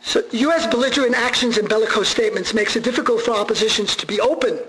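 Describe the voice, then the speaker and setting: A man's voice: very high voice, fast, fairly bright tone, low-quality recording. A man whose first language is Armenian, giving a conversational public lecture with frequent restarts and fillers.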